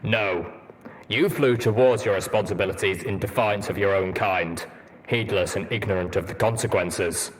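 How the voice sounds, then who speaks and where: A man voicing a cold, stern armored pony ranger in an audiobook, speaking deliberately and firmly. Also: fairly low pitch, a little hiss or hum, lightly acted, rough recording. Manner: deliberate, firm.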